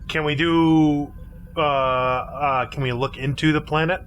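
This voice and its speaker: A man's voice: a little high, fairly even intonation, slow pace, a bit bright, highs cut off, a little hiss or hum. A man talking casually as a player in a tabletop roleplaying game.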